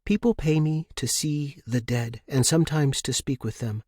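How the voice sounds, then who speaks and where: A man voicing a gentle, reluctant young woman in an audiobook, soft-spoken and hesitant. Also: medium pitch, moderate pace, dry recording, studio quality, pitched above the performer's own voice, lightly acted. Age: young adult. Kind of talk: audiobook character reading.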